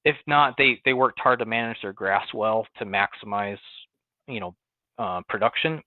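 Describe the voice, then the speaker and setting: A young man from Western New York with its accent, a cattle farmer telling his story as a guest, relaxed, with many fillers and restarts. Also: on the low side, moderate pace, dry recording. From Western New York, near Buffalo. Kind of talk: conversational interview. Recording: tinny phone-like line, dry.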